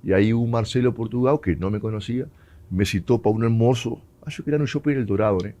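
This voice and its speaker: Uruguayan guy talking footy, lowish voice, drifts between portuguese and spanish. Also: fast, warm tone. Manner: mixes Portuguese and Spanish, laughs often. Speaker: former footballer guest. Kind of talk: storytelling interview.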